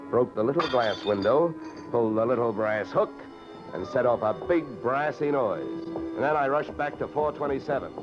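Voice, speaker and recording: A man's voice: on the low side, muffled recording, close to an everyday voice. An American man playing a wisecracking insurance investigator in old radio detective drama style, clipped, sardonic and pushy. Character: hardboiled, wisecracking male freelance insurance investigator. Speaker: lead actor and first-person narrator. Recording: vintage radio recording, music behind.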